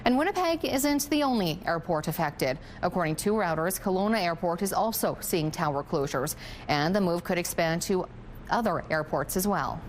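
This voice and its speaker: A woman's voice: on the low side, animated, moderate pace, slight background noise. A woman delivering a scripted live news report.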